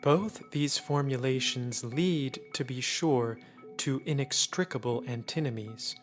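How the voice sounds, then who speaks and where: A man's voice: mid-range voice, a little slow, soft background music. A man giving a scripted reading of a mock-scholarly literary essay. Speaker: reader of a literary text.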